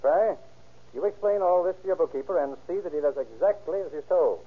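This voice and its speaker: A man playing a calm, authoritative police chief in 1930s radio drama, brisk and protective. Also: fairly high pitch, breathy edge. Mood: commanding, brisk. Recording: tinny muffled 1930s radio recording.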